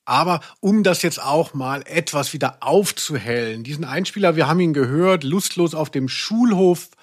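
Higher-pitched man, reflective podcast talker. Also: animated, normal speed. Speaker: podcast co-host. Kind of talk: casual podcast discussion.

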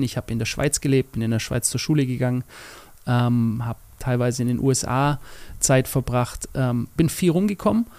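Man, gravelly voice, dry close sound, podcast co-host, long thoughtful sentences. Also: medium pitch, a little mellow, no echo. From Germany. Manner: affirming often, long thoughtful sentences with fillers. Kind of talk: casual podcast conversation.

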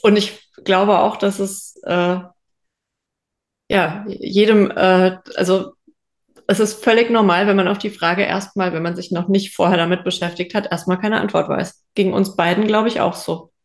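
A woman's voice: mid-range voice, fairly even intonation, normal speed. A woman co-hosting a podcast, chatting casually and reflectively, thinking aloud as she goes. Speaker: podcast co-host. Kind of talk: casual conversational podcast chat.

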